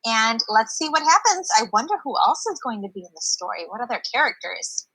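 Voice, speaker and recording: A woman's voice: a little high, big pitch swings, fairly quick, pushed and bright, slightly rough, low-quality recording. A woman teacher leading a story discussion for children online, encouraging and explaining simply.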